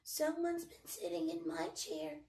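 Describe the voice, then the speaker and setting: A woman voicing a little male baby bear with a small, high, crying voice. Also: very animated, measured pace, warm tone, distant mic, exaggerated voice. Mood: upset. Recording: roomy echo.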